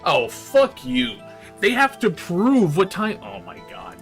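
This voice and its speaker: A man's voice: sits high, wildly swooping pitch, slow, gravelly, faint music behind. A man doing casual game stream commentary, swearing freely and ranting in exasperation as he plays. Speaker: streamer playing and reading a visual novel aloud.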